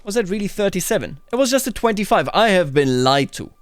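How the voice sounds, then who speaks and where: A man's voice: high voice, big pitch swings, quick pace. A man giving casual roleplay commentary on a video game, musing and narrating it as a story. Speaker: gaming video host. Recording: music under the voice. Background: background music.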